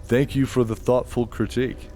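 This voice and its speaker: A man's voice: mid-range voice, flat delivery, normal speed, dark tone, faint music behind, close to an everyday voice. A man playing a talkative elder in a fantasy audio drama. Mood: measured, dry. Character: talkative elder man recounting his family's adventures.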